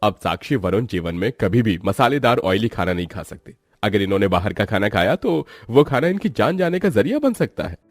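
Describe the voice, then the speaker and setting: A man's voice: lowish voice, sing-song, brisk, few pauses, no echo, clean studio sound. A man voicing a grave doctor who gives emphatic warnings in a moral story. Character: grave male doctor warning worried parents. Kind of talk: acted moral story dialogue.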